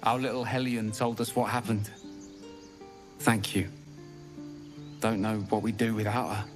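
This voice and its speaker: A man's voice: on the low side, flat delivery, measured pace, over music, natural voice. An actor playing a grateful, wry father in a fantasy game.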